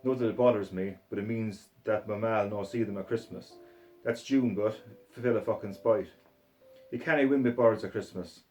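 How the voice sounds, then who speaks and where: A man's voice: lowish voice, normal speed, a little mellow, faint music behind, close to an everyday voice, low-quality recording. A man reading aloud as a foul-mouthed, violent Scottish hard man, swearing and boastful in a Scottish accent. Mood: ranting. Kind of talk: reading a short story aloud in character. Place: sitting room floor.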